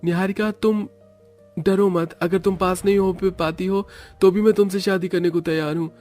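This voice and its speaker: A man's voice: sits high, fairly even intonation, moderate pace, dark tone, faint music behind, natural voice. A man voicing a cocky, boastful rich young student in a cartoon story. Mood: cocky, wooing.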